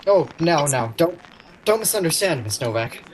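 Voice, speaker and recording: A man's voice: on the high side, sing-song, normal speed, slight background noise, low-quality recording. A man playing an awkward, prickly shop clerk in a vintage-style radio romantic comedy, speaking in a hesitant, wry way. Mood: stung, prickly. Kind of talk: vintage radio play acting.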